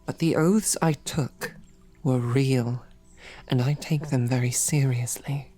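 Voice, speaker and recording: A woman voicing a devoted, protective female royal knight in a whispered audio roleplay, with soft, earnest questioning. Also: very deep voice, slow pace, faint music behind, heavily acted. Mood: earnest, pleading.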